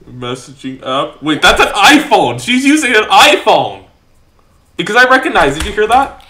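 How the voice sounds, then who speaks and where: Excitable young man, wildly swinging high pitch. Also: normal speed. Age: young adult. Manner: excitable, exclaiming and joking. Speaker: reaction video host. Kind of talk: casual reaction commentary. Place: small room indoors. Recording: very noisy, echoey, distant mic.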